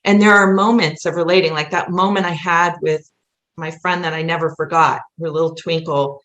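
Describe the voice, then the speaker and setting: A woman with a slightly low voice, recorded in a roomy, echoey space. She speaks as a guest in casual conversation, earnest and emphatic, rambling from one thought into the next. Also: steady tone, moderate pace, a bit bright. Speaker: podcast guest, musical playwright.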